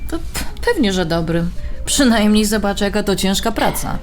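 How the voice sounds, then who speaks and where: A woman's voice: mid-range voice, lively intonation, normal speed, soft background music, lightly acted. Woman playing sarcastic, exasperated grown daughter. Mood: brisk, matter-of-fact. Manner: sarcastic, teasing, challenging. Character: sarcastic grown daughter, Polish-American realtor, exasperated with mother. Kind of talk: audio drama dialogue.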